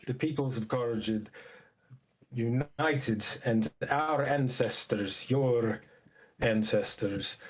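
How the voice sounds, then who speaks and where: Man acting a slow, solemn lore-telling elder. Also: mid-range voice, lightly acted, poor audio. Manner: measured, formal, portentous.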